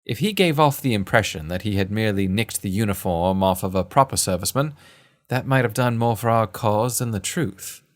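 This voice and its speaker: Casting: male actor for genteel adventurer-narrator in an audio story, lowish pitch, formal and measured, literary diction. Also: lively intonation, close to an everyday voice. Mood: measured, grave. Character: male first-person narrator, genteel adventurer recounting a kidnapping case. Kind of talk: scripted period-story narration.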